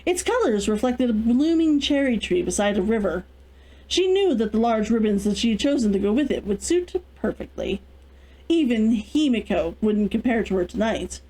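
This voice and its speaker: Female read-along host, lively intonation, soft-edged tone, storytelling and casual chat. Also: on the high side, normal speed, rough recording.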